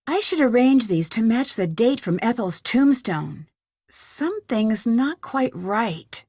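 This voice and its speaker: An inquisitive young female detective talks to herself through a mystery game. Her voice sits fairly high and moves in very lively contours, earnest and level-headed. The recording is close and dry. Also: takes it slowly, no room sound, exaggerated voice. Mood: focused, pondering. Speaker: video game protagonist. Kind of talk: game voice lines, thinking aloud.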